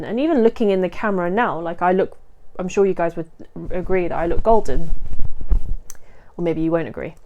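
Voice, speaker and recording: A woman's voice: mid-range voice, dark tone. A woman hosting her own vlog, chatting to camera in a casual, explanatory way with frequent asides and self-corrections. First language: not Korean. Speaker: vlogger host.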